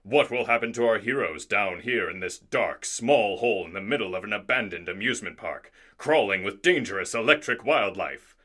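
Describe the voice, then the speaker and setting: Radio drama narrator, low-pitched, swooping delivery, theatrical, easily exasperated. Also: male voice, animated, normal speed, pushed and bright. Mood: mock suspense. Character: dramatic, put-upon male narrator of a radio serial. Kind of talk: scripted narration.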